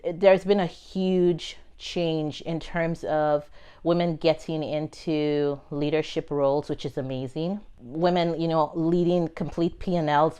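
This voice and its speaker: A woman's voice: low-pitched, mellow, clear, resonant tone. A middle-aged woman from Nigeria with a Nigerian accent tinged with American and British, answering interview questions in a thoughtful, earnest way. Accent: Nigerian, with American and British touches. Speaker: pharma executive guest.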